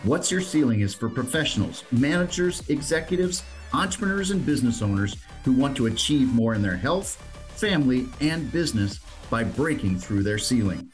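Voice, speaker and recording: A man's voice: mid-range voice, lively intonation, moderate pace, soft background music. A man hosting a podcast, reading the scripted show intro in a polished, announcer-like way. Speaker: podcast host.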